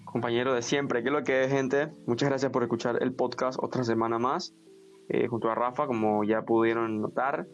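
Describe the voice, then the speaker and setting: A man's voice: mid-range voice, steady tone, normal speed, soft background music. A man in his twenties co-hosting a casual podcast chat with friends, recorded over a dull remote connection. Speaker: podcast co-host.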